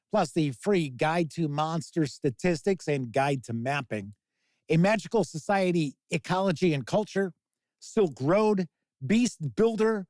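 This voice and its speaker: Lively, jokey Chicago man hosting. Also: a little high, no room sound. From Chicago, USA. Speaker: host. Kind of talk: casual livestream news chat.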